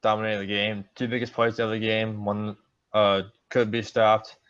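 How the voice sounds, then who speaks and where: A young man co-hosting a casual sports podcast, joking around and talking with quick, animated asides. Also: lowish voice, clean tone. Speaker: podcast co-host. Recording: dull lo-fi sound.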